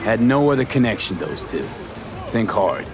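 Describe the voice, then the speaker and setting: A low male voice as a hardened, menacing young mercenary in a video game. He talks in terse, clipped lines. Music plays clearly behind him. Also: sing-song, normal speed. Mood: businesslike. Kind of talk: video game dialogue acting.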